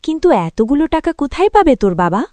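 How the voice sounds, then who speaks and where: A woman's voice: sits high, big pitch swings, brisk, warm tone, no echo. A woman playing a careworn, practical mother of a poor family in a cartoon, worried and scolding. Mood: disapproving. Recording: background music under the voice.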